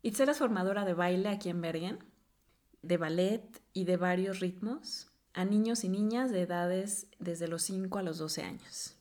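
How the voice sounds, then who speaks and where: A woman's voice: fairly low pitch, normal speed, a little mellow. Woman hosting a chatty podcast. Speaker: podcast host. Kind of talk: casual podcast conversation.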